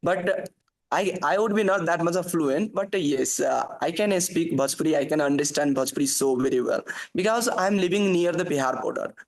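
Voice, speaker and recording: A man from Nepal with a Nepali accent, talking in a casual interview, halting with many fillers and restarts. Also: a little high. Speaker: guest, English learner. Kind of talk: casual conversation. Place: working abroad.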